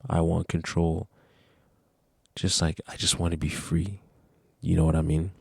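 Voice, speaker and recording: A young man of nineteen speaks to his camera in an earnest, rambling way, full of restarts. His voice sits very low with a gravelly fry and little rise and fall. The sound is dry and close. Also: little pitch movement, unhurried, dry recording. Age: young adult. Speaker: vlogger. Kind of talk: casual talk to camera.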